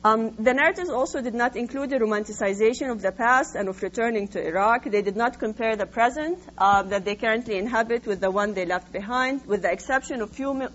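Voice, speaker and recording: A woman researcher presenting her findings in an academic talk, explaining in a measured way with frequent hesitant pauses. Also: on the high side, fairly bright tone. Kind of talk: academic lecture, semi-scripted. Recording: dull lo-fi sound with faint hum.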